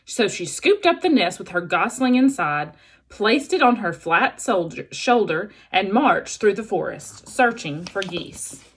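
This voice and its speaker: A woman teacher reading a children's storybook aloud in an expressive narrating style. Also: mid-range voice, a little slow, breathy edge.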